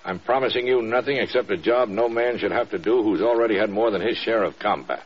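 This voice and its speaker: Weary senior commander, husky low voice, earnest, measured, sombre, muffled old radio sound. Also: male voice. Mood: grave, urgent. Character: grave, weary senior male commander confiding in a subordinate. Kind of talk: mid-century radio drama acting. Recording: dull old radio recording.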